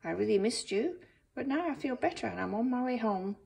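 A woman's voice: fairly high pitch, lively intonation, brisk, gravelly, a little mellow, pitched above the performer's own voice. A woman voicing a kind, affectionate small male bat in a picture book.

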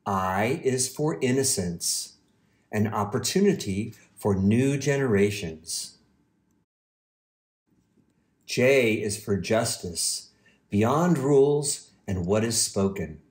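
Guy reading a kids picture book out loud, really slow and careful, voice goes up and down a lot. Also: on the low side, lively intonation. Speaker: university president, guest reader. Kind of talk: children's storybook read-aloud.

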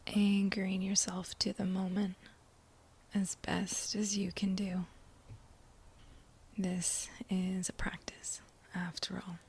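A woman guiding a meditation in a breathy whisper, gentle and soothing, with long unhurried pauses between phrases. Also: medium pitch, little pitch movement. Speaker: meditation guide. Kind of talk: guided meditation, whispered soft-spoken.

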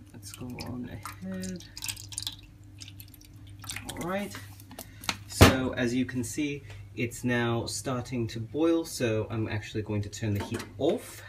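A young man playing a genteel, affectionate elf king giving a gentle, hesitant, casual cooking demonstration. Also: mid-range voice, close to an everyday voice. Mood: gentle, instructive. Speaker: cooking show host. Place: small home kitchen, indoors. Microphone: camera a step or two away. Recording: clearly noisy kitchen recording.

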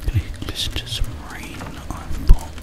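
A young man whispering gentle, unhurried ASMR gameplay commentary into a close desk microphone. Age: young adult. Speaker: gaming ASMR streamer. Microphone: close desk microphone with headphones.

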